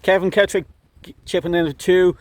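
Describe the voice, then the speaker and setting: A man's voice: high voice, fairly even intonation, moderate pace, a little mellow, no room sound. A man with an Irish accent interviewing after a football match, asking questions with frequent hesitations. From Ireland. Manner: hesitant, many "um"s. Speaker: club interviewer.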